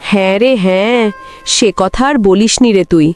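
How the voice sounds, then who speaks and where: A woman's voice: medium pitch, moderate pace, faint music behind, lightly acted. A woman voicing a bossy, nagging village wife in a cartoon, exasperated with her lazy husband. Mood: complaining. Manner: nagging, sharp-tongued. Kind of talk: cartoon voice acting.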